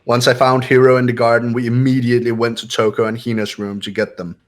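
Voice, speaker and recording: A man's voice: medium pitch, flat delivery, fast, faint music behind, close to an everyday voice. A man voicing a cool, commanding young man who questions and explains formally in a visual novel game. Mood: matter-of-fact, superior. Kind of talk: amateur visual novel voice acting.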